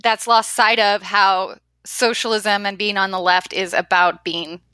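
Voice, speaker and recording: A woman's voice: medium pitch, flat delivery, measured pace, pushed and bright, no echo. A woman hosting a podcast interview, chatty and enthusiastic with playful asides. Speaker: podcast host. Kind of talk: casual interview chat.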